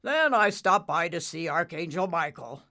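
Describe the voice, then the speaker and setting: Cumulo, a woman doing a booming father cloud. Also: expressive, normal speed, bright, forceful tone. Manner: booming, smug.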